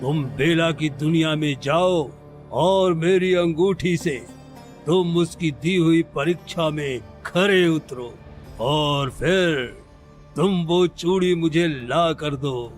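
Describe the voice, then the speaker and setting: Villain snake for cartoon fable, male actor: raised pitch, drawn-out menacing delivery, sly and power-hungry. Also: soft background music. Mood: gloating, scheming. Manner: menacing, slow, gloating. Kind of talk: Hindi cartoon story voice acting.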